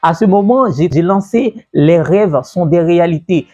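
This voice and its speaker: A man telling the story of his own business journey in a casual way, repeating himself and pausing with fillers. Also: high voice, dark tone, slightly rough. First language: French. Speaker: entrepreneur and business coach. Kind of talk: personal story told to camera. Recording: dull, lo-fi sound with faint music.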